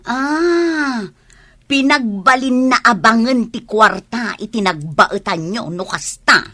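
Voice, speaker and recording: Woman as sharp-voiced, teasing village gossip. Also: on the high side, lively intonation, slow, no echo, clean studio sound, full character voice. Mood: gossipy. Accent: Filipino. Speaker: radio drama actor. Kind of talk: Ilocano comedy radio drama acting.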